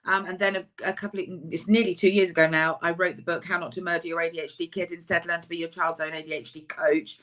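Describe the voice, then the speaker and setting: A woman, a counsellor and author, giving a chatty, emphatic webinar talk over a video call, her voice slightly husky. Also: on the low side, fairly bright tone. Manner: chatty, self-deprecating, emphatic.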